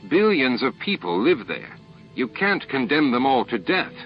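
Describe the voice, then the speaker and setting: A man's voice: mid-range voice, sing-song, a little slow, muffled recording, faint music behind, voice pushed up. Man playing a stern, indignant starship captain. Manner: forceful, controlled, grave. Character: stern, indignant male space station captain holding his temper.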